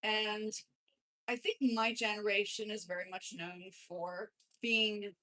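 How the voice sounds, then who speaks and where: A woman's voice: mid-range voice, a bit bright, rough recording. A woman in her forties, chatting casually as a channel host while working at home, rambling and correcting herself as she goes. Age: 40s. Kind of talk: casual chat to camera. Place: home studio with music playing. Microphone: swapped desk mic, then lavalier.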